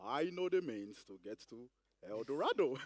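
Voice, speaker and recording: A man acts a sly, bumbling Nigerian schemer in a comic stage play. The speech is hesitant and roundabout, with lively pitch swings and a dry sound. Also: moderate pace, no echo. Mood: wheedling.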